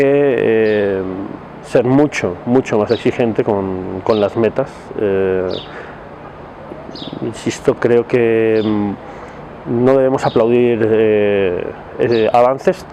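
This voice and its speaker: Mellow-voiced man, halting and deliberate, some noise. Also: medium pitch. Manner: halting, deliberate, searching for words. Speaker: civil society commentator in a video message. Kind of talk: spoken opinion to camera.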